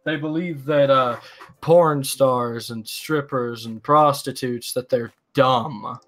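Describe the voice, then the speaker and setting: A man's voice: mid-range voice, takes it slowly, a bit bright, soft background music. A man ranting bluntly in casual stream chat, swearing freely as he makes his points.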